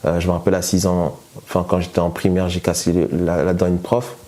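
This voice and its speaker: A man's voice: low-pitched, completely flat delivery, fast talker, warm tone. A man born in France telling his personal testimony in a hesitant way, with many fillers and restarts. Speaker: guest giving testimony.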